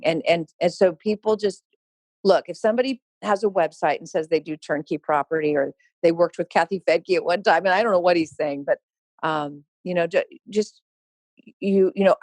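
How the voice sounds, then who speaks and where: A woman's voice: medium pitch, a little mellow, no echo. Woman, podcast guest, company founder, emphatic, laughs often, dry close sound. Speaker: real estate investment company founder, guest. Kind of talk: animated conversational storytelling. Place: podcast interview.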